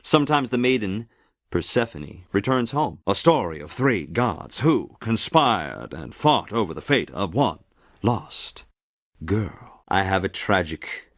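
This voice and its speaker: A man's voice: fairly low pitch, big pitch swings, a little slow, a bit bright, no room sound, clean studio sound, full character voice. A man playing a wry, glib Greek messenger god for a game, with a sardonic, chatty, flippant manner. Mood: dramatic storytelling. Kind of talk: theatrical game voice lines.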